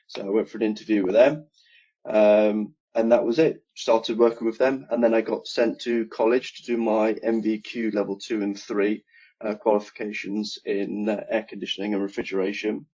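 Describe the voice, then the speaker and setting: A man in his thirties with an English Midlands accent, explaining his work as an air conditioning engineer in a relaxed interview over a video call. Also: on the low side, moderate pace, husky. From England. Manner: relaxed, explains in detail with many ums. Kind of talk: casual interview conversation. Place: home room, video call. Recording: dull lo-fi video call audio.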